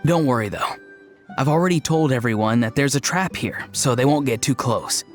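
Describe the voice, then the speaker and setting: An actor playing an earnest, odd young tribesman in a video game, deadpan and matter-of-fact. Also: medium pitch, normal speed, faint music behind, natural voice.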